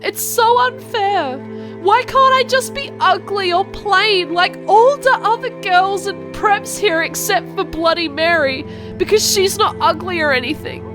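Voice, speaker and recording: A woman playing a self-absorbed teenage goth girl, narrating an animated fan fiction in a melodramatic, whiny way. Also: very high voice, unhurried, music in the background.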